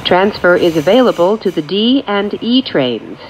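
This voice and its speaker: A woman delivers formulaic transit announcements in separate, measured phrases. Her voice is low but moves with a lively lilt. It is captured inside a train, slightly dulled, with some vehicle noise around it. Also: poor audio. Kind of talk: scripted automated train announcements. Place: recorded off speakers inside a subway train. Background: train ambience.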